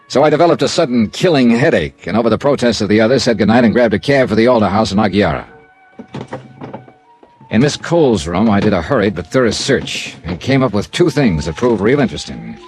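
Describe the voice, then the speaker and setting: A man's voice: fairly low pitch, animated, a little fast, soft background music, close to an everyday voice. An actor playing a wry, self-assured male investigator, dry and clipped, in classic radio detective drama. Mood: matter-of-fact. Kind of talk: classic radio detective acting and first-person narration.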